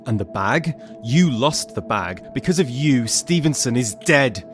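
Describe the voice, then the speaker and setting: An actor playing a blunt, grieving survivor in an audio drama, with a terse way of talking. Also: male voice, medium pitch, lively intonation, a little slow, faint music behind, natural voice. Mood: accusing. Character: blunt, grieving male survivor, accusing yet loyal.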